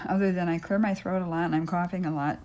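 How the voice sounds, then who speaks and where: An older woman with a raspy voice hosting a casual polymer clay tutorial from her home craft room, chatting and thinking aloud with frequent ums as she works, recorded on a fixed camera mic. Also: fairly low pitch, steady tone, brisk, darkish tone. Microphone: stationary camera mic.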